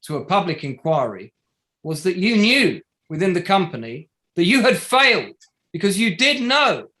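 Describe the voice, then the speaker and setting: An actor playing a probing, sardonic barrister at a public inquiry in a play reading, formal and pointed, pressing with rhetorical questions. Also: male voice, high voice, big pitch swings, normal speed, pushed and bright, exaggerated voice. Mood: formal, accusing. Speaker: actor in an online table read. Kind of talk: courtroom-style cross-examination in a play reading.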